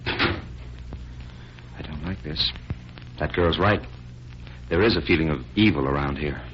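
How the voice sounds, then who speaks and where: A man's voice: extremely low pitch, takes it slowly, a bit bright, full character voice. A man playing a comically nervous, hesitant, wisecracking sidekick in a 1940s radio drama. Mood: uneasy. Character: jittery, wisecracking, cowardly male sidekick. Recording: muffled, noisy 1940s radio recording.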